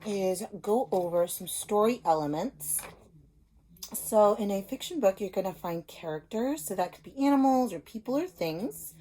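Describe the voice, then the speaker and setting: A woman's voice: mid-range voice, dark tone, low-quality recording. Woman teacher explaining and reading to children. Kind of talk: simple lesson and story read-aloud for children.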